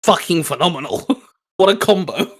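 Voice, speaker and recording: A man's voice: high voice, animated, normal speed, fairly bright tone, slightly rough. A man co-hosting a casual fan chat, talking in an enthusiastic, rambling way. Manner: enthusiastic, rambling, occasionally swearing. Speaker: co-host.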